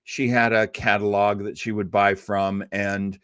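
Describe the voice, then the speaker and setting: A man's voice: lowish voice, flat delivery, unhurried, a bit bright, smooth, no room sound, clean studio sound. An older man hosting an old-time radio show in a casual livestream chat, rambling, effusive and self-deprecating.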